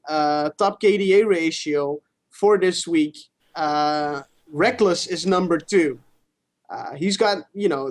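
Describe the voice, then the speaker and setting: A gaming stream co-host talks casually about esports. The voice sits at a middling pitch, and the manner is informal, with casual swearing.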